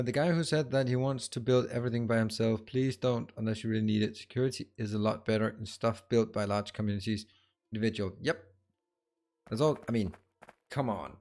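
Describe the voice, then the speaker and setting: A man's voice: on the low side, a little mellow. A man streaming a game tutorial, chatting casually with viewers and thinking aloud as he builds.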